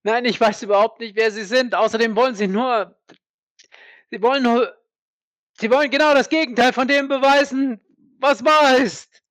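A man's voice: very high-pitched, normal speed, fairly bright tone, no echo. A man acting in an audio drama as an embittered former army captain, indignant, defiant and prone to swearing. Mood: angry, desperate.

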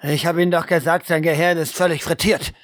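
A man acts out a harsh male doctor in a roleplay audio drama, grilling a prisoner. He speaks on the high side in a dry, close recording, formal and accusing, impatient for answers. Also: fairly even intonation, brisk, a bit bright, dry recording, natural voice. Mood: exasperated. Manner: accusing, demanding, formal address.

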